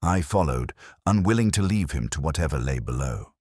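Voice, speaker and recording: A man narrating a scripted detective story in a measured, atmospheric storytelling manner. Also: a bass voice, expressive, darkish tone, breathy edge, no echo, clean studio sound.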